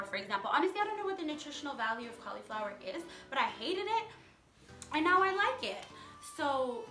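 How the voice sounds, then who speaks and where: Young female vlogger, candid and emphatic, casual motivational chat; roomy echo, clear music bed. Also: on the high side, sharp tone. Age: young adult. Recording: echoey room, music underneath.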